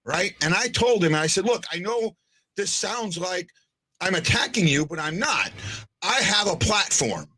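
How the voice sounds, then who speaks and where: A man hosts a sports podcast in a husky voice that rides up and down, bright and forward in tone. He often stumbles and restarts his sentences, then tags them with a questioning "right?". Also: high voice, expressive, a little slow. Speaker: podcast host. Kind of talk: casual chat.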